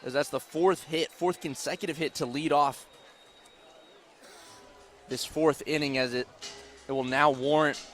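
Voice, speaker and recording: A man doing live radio baseball play-by-play as the announcer, excitable on big plays with drawn-out calls. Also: medium pitch. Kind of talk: live sports commentary. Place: outdoor baseball stadium broadcast. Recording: loud crowd and stadium noise behind. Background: crowd, ballpark ambience.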